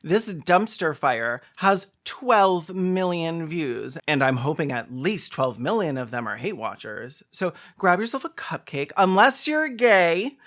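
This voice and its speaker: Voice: mid-range voice, big pitch swings, a little slow, hardly any pauses, a bit bright. A host giving sarcastic video commentary in a theatrical, snarky and sweary way.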